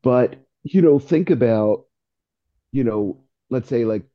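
A man's voice: mid-range voice, takes it slowly. Man in his sixties, dull lo-fi audio. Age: 60s. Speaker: discussion participant. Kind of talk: casual group chat.

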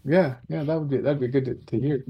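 A man's voice: medium pitch, fairly even intonation, a little fast, lo-fi sound. A man chatting casually in a rambling way, often repeating his phrases as he goes. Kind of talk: casual chat.

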